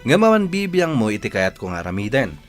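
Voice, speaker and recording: A man's voice: medium pitch, sing-song, quick pace, faint music behind, close to an everyday voice. A man playing a domineering, demanding village playboy in a radio drama, bossy in delivery. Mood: commanding.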